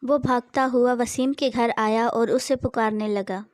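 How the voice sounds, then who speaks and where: A woman's voice: fairly high pitch, fairly even intonation, a little slow, no echo, clean studio sound. A woman narrating a scripted horror story.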